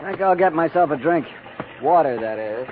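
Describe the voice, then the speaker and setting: A man's voice: on the high side, lively intonation, normal speed, natural voice. Through a noisy, narrow old radio recording comes a male actor as a hungover American frontiersman. He is folksy and wry, a jittery wisecracker in a western drama. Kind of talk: 1950s western radio acting.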